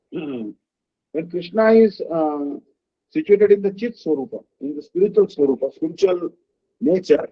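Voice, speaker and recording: A man giving a devotional discourse as a spiritual teacher, halting often and checking in with the listeners. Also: a little high, breathy edge. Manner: halting, with frequent "uh" and questioning "huh?". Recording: dull lo-fi recording.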